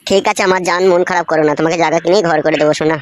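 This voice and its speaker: A man voicing a brash, sweet-talking village philanderer in a cartoon, with a pitched-up voice. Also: level tone, rapid-fire, clear, resonant tone, dry recording. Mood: flirty, coaxing.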